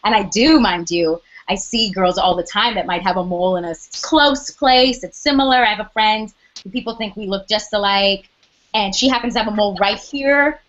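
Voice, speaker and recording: A young adult woman with a loud speaking voice, chatting animatedly as an interview guest, full of "like" and quoted asides. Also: medium pitch, normal speed, highs cut off. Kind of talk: casual interview chat.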